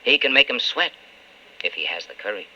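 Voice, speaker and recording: A man's voice: mid-range voice, expressive, brisk, bright, forceful tone. An actor playing a principled, wistful man in love, speaking earnestly in an old-time radio drama. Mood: earnest, urgent. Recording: muffled old radio broadcast with hiss.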